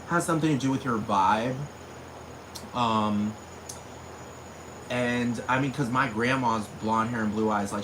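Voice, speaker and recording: A man's voice: medium pitch, noisy background. A man with a Puerto Rican accent ranting casually in a rambling, profane way, full of fillers. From Puerto Rico. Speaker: vlogger. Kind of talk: casual rant. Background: crickets and insects.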